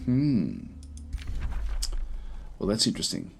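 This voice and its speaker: Croaky low-voiced man commentating a strategy game. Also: wildly swooping pitch, slow pace, a little hiss or hum. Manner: thinking aloud, many fillers and restarts. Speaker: gameplay commentator.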